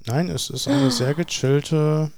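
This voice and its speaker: A man's voice: on the high side, very animated, slow pace, creaky, a little mellow, a little hiss or hum. A man co-hosting a podcast in casual, teasing conversation. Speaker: podcast co-host. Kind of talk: casual chat.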